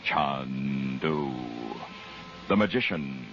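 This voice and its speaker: A man with an American accent announcing and narrating a 1940s radio serial in a theatrical, deliberate scripted style. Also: a bass voice, very noisy. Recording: muffled 1940s radio broadcast. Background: music.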